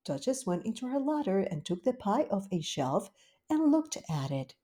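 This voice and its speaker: A woman reading a children's storybook aloud in a gentle, expressive way. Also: mid-range voice, normal speed, slightly rough. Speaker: storybook reader. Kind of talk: scripted narration of a children's story.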